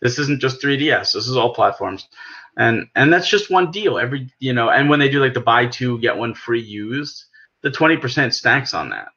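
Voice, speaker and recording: A man's voice: medium pitch, animated, moderate pace, sharp tone, lo-fi sound. A man with an American accent talking casually and persuasively about things as a podcast host, emphatic and explanatory. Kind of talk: casual gaming podcast discussion.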